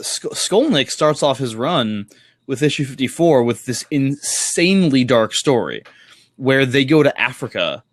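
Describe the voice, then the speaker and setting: A man with a mid-pitched voice talks as a casual chat host in a dry, close space. He rambles and halts, often restarting his phrases, and swears offhandedly. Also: fairly bright tone, no room sound. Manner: rambling, halting delivery with frequent self-corrections. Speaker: podcast host.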